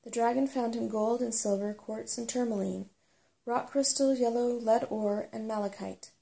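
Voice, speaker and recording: Storybook dwarf, male, earnest and gold-struck read by a woman, creaky but soft. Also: a little high, steady tone, moderate pace, pitched above the performer's own voice. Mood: awed, ecstatic. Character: eager, treasure-loving male mountain dwarf. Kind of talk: storybook character reading.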